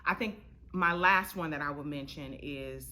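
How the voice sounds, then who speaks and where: A woman chatting casually as an interview guest, telling things in an emphatic, expressive way. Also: sits low, moderate pace, crowd noise. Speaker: interview guest, actor, director and writer.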